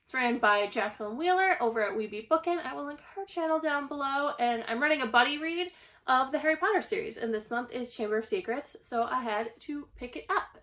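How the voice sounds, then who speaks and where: A young woman with a slightly hoarse voice, recovering from a cough, chatting casually at home about a stack of books. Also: a little high, fairly bright tone. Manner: chatty, self-deprecating, rambling. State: hoarse, getting over a cough. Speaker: book channel host. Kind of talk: casual book haul vlog. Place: at home, indoors.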